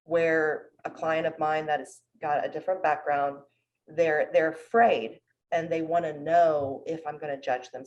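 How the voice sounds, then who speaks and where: A woman's voice: deep voice, darkish tone, low-quality recording. A woman therapist speaking humbly and thoughtfully about her clients on a panel. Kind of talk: panel discussion.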